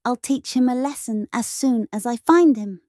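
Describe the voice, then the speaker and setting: A woman's voice: on the high side, normal speed, dark tone, no echo, clean studio sound, natural voice. A woman voicing a falsely sweet, short-tempered chicken animatronic in a cartoon comic dub. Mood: coaxing, irritated. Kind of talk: comic dub character acting.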